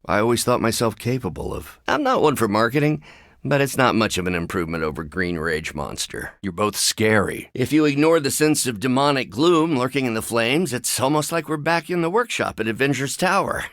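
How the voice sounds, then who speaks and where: An actor playing a brainy, anxious middle-aged American scientist superhero, speaking in a measured, hesitant and wry way in video game dialogue. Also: male voice, mid-range voice, animated, fairly bright tone, no echo, studio quality. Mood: dry, analytical.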